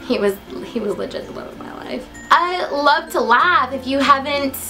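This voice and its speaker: A woman's voice: high-pitched, expressive, moderate pace, pushed and bright, faint music behind. A young woman with an American accent chatting casually to camera as a vlogger, in a giggly, upbeat and talkative manner. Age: young adult. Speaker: vlogger introducing herself.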